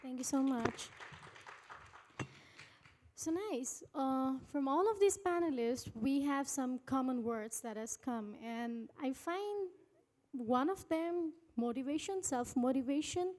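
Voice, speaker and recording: A woman's voice: high voice, takes it slowly, darkish tone. A woman moderating a live panel, addressing the speakers and putting questions to them.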